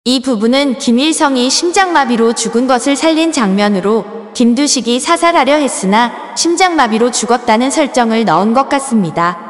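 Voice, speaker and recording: A woman reading a short scripted correction note in a plain, even manner. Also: on the high side, brisk, few pauses, big-room sound.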